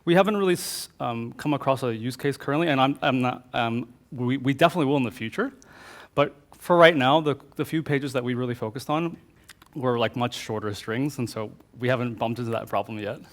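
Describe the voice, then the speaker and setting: A man's voice: medium pitch, brisk. A man co-presenting at a tech conference, answering questions in a conversational way with frequent restarts.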